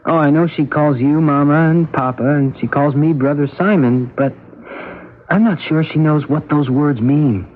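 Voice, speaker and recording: A man's voice: on the high side, moderate pace, a little hiss or hum, lightly acted. Earnest, worried brother, acted by a man, tinny radio. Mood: troubled. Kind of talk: old-time radio drama acting. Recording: thin muffled vintage radio recording.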